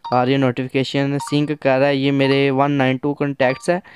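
A man's voice: mid-range voice, completely flat delivery, brisk, a little hiss or hum. A man giving a casual hands-on app tutorial, conversational and instructional, mixing in English terms. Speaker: tech app reviewer.

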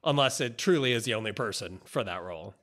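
A man's voice: fairly low pitch, expressive, quick pace, fairly bright tone. An American man in his forties chatting casually on a podcast, acting out quoted exchanges as he tells stories. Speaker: podcast host and film director. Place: indoor office, on a video call. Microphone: close boom-arm podcast mic.

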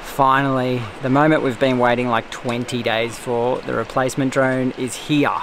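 A man with an Australian accent, chatting casually outdoors as a family travel vlogger, relaxed and full of ums and likes. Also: mid-range voice, normal speed, background noise. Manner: relaxed, chatty, uses fillers like um and like. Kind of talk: casual vlog narration. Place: outdoors in the bush.

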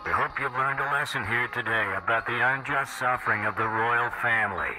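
A jaded theme-park ride operator from a video game, played by a man. He gives deadpan, faintly resentful announcements in a medium-pitched voice over clear music. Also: a little fast, close to an everyday voice, low-quality recording. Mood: flat announcing. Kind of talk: video game cutscene acting.

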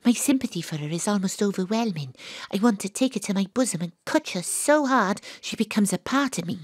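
An actress playing a sympathetic, self-mocking private detective, narrating an audio drama in a theatrical, wry manner. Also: female voice, mid-range voice, animated, a little fast, dry recording, studio quality, close to an everyday voice. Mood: reflective, wry. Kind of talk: first-person audio drama narration and dialogue.

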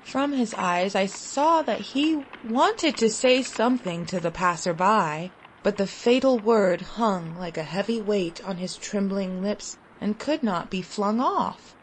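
A woman narrating a literary short story in a deliberate, expressive, dramatic way with long pauses. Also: mid-range voice, slight background noise, low-quality recording. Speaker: audiobook story reader.

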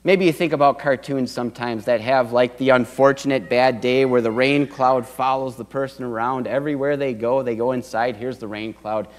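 A man's voice: medium pitch, fairly even intonation, moderate pace, hardly any pauses. A middle-aged man preaching a sermon from a church pulpit, earnest, with swells of emphasis. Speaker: pastor. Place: church sanctuary at a wooden pulpit. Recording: long church reverb.

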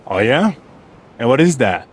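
A man's voice: mid-range voice, sing-song, measured pace, slight background noise. An actor playing a guarded, skeptical male agent in a video game, speaking dryly.

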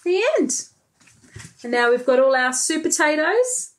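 A woman's voice: sits high, big pitch swings, moderate pace. A woman in her thirties with an Australian accent, a preschool teacher reading a storybook aloud expressively with comments for young children. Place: small bright room indoors.

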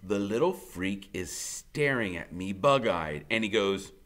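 A man's voice: on the low side, animated, a bit bright. An American man in his fifties, a teacher reading a first-person story aloud with dramatic, conversational emphasis. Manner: dramatic, conversational, drawn-out emphasis on key words. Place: indoor classroom in front of a whiteboard.

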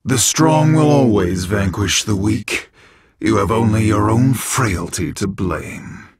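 An actor playing an arrogant, power-drunk jackal villain in a video game, husky and breathy, with haughty, menacing, deliberate taunts. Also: male voice, fairly low pitch. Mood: contemptuous, threatening.

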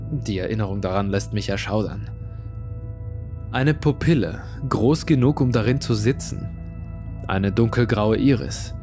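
A man narrates a horror tale. His voice sits at a medium pitch, and he tells the story in a suspenseful, dramatic way. Music plays behind him. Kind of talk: dramatic scripted creepypasta narration. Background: background music.

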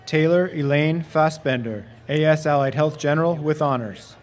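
A man announcing graduates' names and degrees at an outdoor commencement in a steady, formal way over a podium microphone. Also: a little high, normal speed, a little hiss or hum. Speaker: graduation name reader. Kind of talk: reading graduate names at a ceremony. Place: outdoor stadium commencement stage.

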